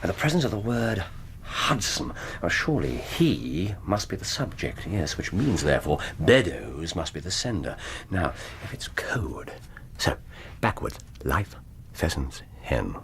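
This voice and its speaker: An actor in a radio drama playing a brooding, cerebral English detective, precise and given to thinking aloud in broken bursts. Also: male voice, deep voice, very animated, unhurried, few pauses, a bit bright, a little hiss or hum, exaggerated voice. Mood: musing, intent. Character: brooding, cerebral English male detective recounting an old case.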